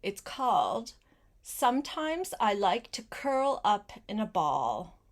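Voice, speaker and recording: An older woman, a grandmother, reads a picture book aloud to a young child, gently adding simple asides and questions. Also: medium pitch, sing-song, slow pace. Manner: gentle, simple child-directed talk with questions and short phrases.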